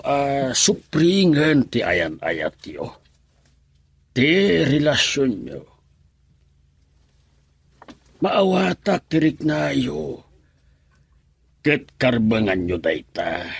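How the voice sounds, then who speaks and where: Radio drama elder: firm, kindly grandpa, low raspy voice, deliberate and halting. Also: male voice, dry recording, heavily acted. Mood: gently admonishing. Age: older. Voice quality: gravelly, husky old voice. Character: elderly grandfather, caring but firm advisor. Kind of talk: Ilocano radio drama acting.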